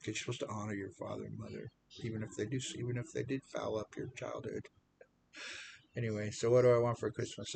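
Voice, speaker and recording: Older American man, 70, faith vlogger, halting self-mocking testimony, mid-pitched voice, faint rumble in the background. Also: gravelly. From United States. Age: 70s. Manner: rambling, repeats words and restarts, self-deprecating. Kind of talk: personal testimony monologue.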